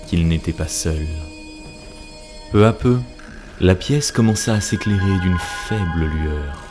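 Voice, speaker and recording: A man reading scripted narration for a cartoon story in a solemn, deliberate manner. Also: deep voice. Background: background music.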